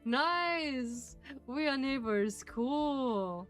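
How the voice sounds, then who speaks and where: A woman's voice: high-pitched, lively intonation, very slow, a bit bright, clear, resonant tone, faint music behind. A woman with a German accent, a native German speaker, streaming and reading a game aloud in careful phrasing with frequent laughs.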